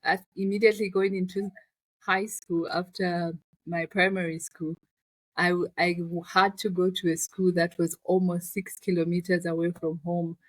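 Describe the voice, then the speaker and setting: A woman's voice: lowish voice, flat delivery, moderate pace, husky, no echo, rough recording. A woman with a Zambian accent telling her personal story as an interview guest, in a reflective, conversational way. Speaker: interview guest, finance business founder.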